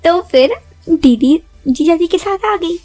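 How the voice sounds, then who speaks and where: A woman's voice: very high voice, expressive, takes it slowly, warm tone. A young woman narrating a first-person story in a chatty, confiding way, often addressing listeners with rhetorical asides.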